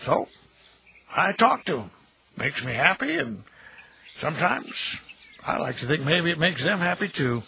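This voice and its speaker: Lively folksy American caretaker. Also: male voice, fairly high pitch, measured pace, creaky, pauses often, full character voice. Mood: earnest, warm. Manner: folksy, neighborly, plain-spoken. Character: folksy, kindly middle-aged graveyard caretaker who chats with the dead. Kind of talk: audio drama acting. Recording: noisy outdoor ambience with birdsong.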